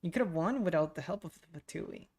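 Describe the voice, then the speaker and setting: A woman streamer reacting casually to a game, informal and quick to interject her own comments. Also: fairly low pitch, expressive, a little mellow, slightly rough.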